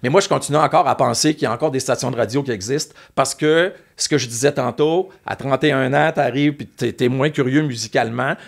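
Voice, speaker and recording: A man with a Québécois French accent telling animated, rambling anecdotes in a casual chat. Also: medium pitch, quick pace, gravelly, few pauses. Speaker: guest, former music TV host.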